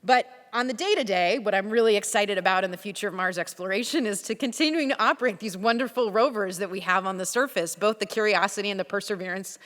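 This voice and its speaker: A woman's voice: mid-range voice, quick pace, few pauses, fairly bright tone, big-room sound. A woman scientist giving an enthusiastic, earnest conference panel talk. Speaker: panel speaker, Mars rover scientist. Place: conference hall.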